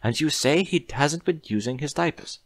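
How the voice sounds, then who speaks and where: A high, swooping voice for a fascinated pony doctor in a storybook tale, performed by a man. He is clinical and inquisitive, musing aloud over whatever he examines. The sound is close and dry. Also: expressive, normal speed, no room sound, natural voice. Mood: intrigued, puzzled. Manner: musing, questioning.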